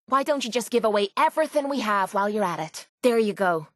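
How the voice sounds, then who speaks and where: An actress plays a tough young Irishwoman who fights for hire as a video game companion. She is blunt, cocky and sarcastic, throwing out slangy remarks in a mid-pitched voice. The sound is close and dry. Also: lively intonation, quick pace, no room sound, close to an everyday voice. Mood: sardonic, brisk.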